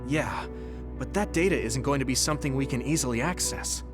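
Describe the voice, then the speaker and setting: An actor voicing a steady, loyal young male soldier in a video game cutscene, sincere and supportive in manner. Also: medium pitch, fairly quick, over music, lightly acted. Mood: calm, supportive.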